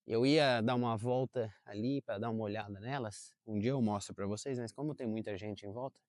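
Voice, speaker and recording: A man's voice: fairly low pitch, fairly quick, darkish tone, dry recording, studio quality. A young adult man talking casually to camera in a park, chatty and full of fillers. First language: Portuguese. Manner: chatty, self-correcting, lots of fillers. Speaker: vlogger. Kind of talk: casual vlog talk to camera. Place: outdoors in a park.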